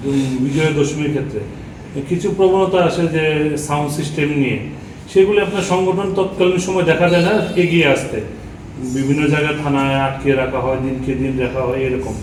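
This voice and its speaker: Higher-voiced male reporter, echoey and distant. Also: moderate pace. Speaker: reporter asking a question. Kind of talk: press conference question. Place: press meet in an echoey room. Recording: echoey, distant mic, noisy room.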